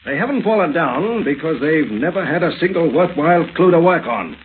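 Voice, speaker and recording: A man's voice: fairly high pitch, expressive, a little fast, close to an everyday voice. An actor playing a fatherly older friend, speaking earnestly and emphatically in vintage radio drama style. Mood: concerned, reluctant. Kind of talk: 1930s-40s radio acting. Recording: tinny old-time radio recording with hiss.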